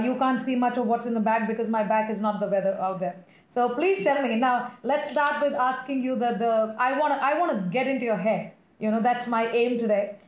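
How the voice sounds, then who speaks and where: Woman host gushing over her guest, kinda high voice, muffled echoey call audio. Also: darkish tone. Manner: effusive, repeats words while searching. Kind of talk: interview hosting. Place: indoors. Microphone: video call.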